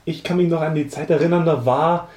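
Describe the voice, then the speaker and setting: Guy casually reminiscing, slightly high voice, echoey and noisy. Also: fairly even intonation, a little fast, a little mellow. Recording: echoey room, clear background noise.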